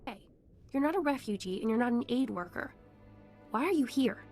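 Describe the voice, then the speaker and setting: A protective young female refugee in a video game, worn down but tough. She speaks bluntly in a fairly high voice, with music in the background. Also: animated, fairly quick, darkish tone. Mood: defensive, worried. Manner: blunt, guarded, firm.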